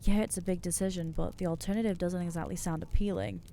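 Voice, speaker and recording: Mellow, anxious vampire woman, acted out by a woman. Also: on the low side, steady tone, creaky, a little hiss or hum. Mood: hesitant, resolving. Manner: hesitant, halting, sighing, earnest. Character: anxious newly turned female vampire, hesitant, gentle, conflicted. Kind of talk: ASMR roleplay acting, intimate monologue.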